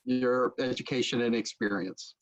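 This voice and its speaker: A man's voice: medium pitch, steady tone, moderate pace, poor audio. A middle-aged American man asking questions conversationally as an interviewer on a video call. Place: book-lined home room, video call.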